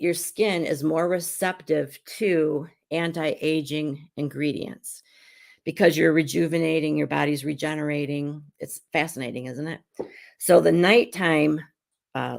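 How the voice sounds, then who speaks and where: A middle-aged woman who is hoarse while getting over an illness, chatting casually as she hosts a live skincare demonstration at home. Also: low-pitched, darkish tone. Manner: chatty and rambling, with frequent restarts. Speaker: beauty consultant and show host.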